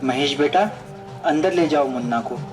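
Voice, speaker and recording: A man's voice: a little high, normal speed, music in the background, close to an everyday voice. A man playing a dutiful, agreeable village family man in a puppet show, speaking respectfully.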